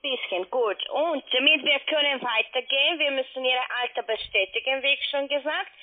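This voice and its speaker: A woman's voice: sits high, moderate pace. A woman who is not a native German speaker, running through a scripted call-centre check insistently, in broken grammar, on the telephone. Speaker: scam call centre agent. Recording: tinny narrowband phone line.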